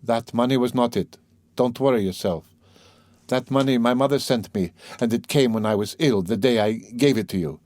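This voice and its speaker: A man voicing an anguished, bitter young murderer in a dramatic audiobook reading, agitated, brooding and halting as he confesses. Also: mid-range voice, darkish tone, no echo, studio quality, lightly acted. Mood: weary, vexed. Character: anguished, guilt-ridden young male murderer confessing, bitter and self-loathing.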